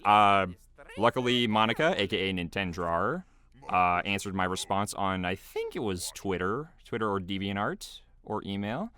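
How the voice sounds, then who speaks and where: A man's voice: on the low side, expressive, normal speed, sharp tone. A man giving casual behind-the-scenes commentary as a video creator, rambling loosely in a small homemade booth.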